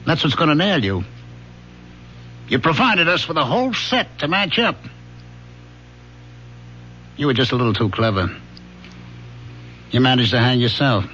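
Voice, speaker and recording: An actor playing a weary, gruff, fatherly veteran police lieutenant in a radio drama. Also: medium pitch, animated, measured pace, pauses often, bright, forceful tone. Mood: firm, wry. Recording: dull, noisy old radio broadcast.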